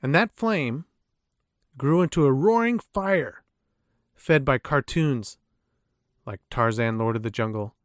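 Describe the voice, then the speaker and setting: A man's voice: mid-range voice, expressive, takes it slowly, long pauses, no echo, studio quality. A middle-aged man hosting a podcast, narrating a scripted personal introduction with theatrical storyteller phrasing. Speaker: podcast host.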